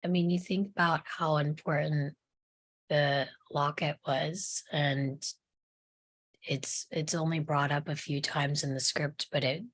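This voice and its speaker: A woman's voice: low-pitched, steady tone, slow pace, no room sound. A woman at a table read giving an articulate, analytical take on a script. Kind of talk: casual discussion of a script.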